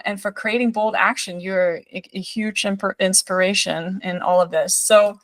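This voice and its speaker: A woman's voice: medium pitch, fairly bright tone, no echo. A woman from Denmark hosting a podcast interview, talking in a rambling way with many restarts and appreciative remarks. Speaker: podcast host.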